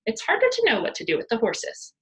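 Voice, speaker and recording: A woman's voice: fairly high pitch, huge pitch swings, brisk, rough recording. A woman reading a storybook and voicing a bold, naive little girl who is matter-of-fact and boastful. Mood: breezy, boastful.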